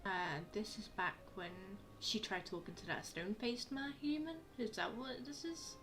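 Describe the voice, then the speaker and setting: A woman's voice: mid-range voice, normal speed, noisy background. A woman giving casual reaction commentary while playing a game. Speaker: gaming video host.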